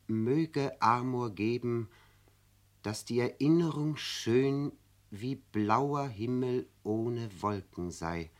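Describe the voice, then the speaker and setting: An actor playing a very young provincial poet in a radio drama, earnest, formal and deferential. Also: male voice, medium pitch. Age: young adult.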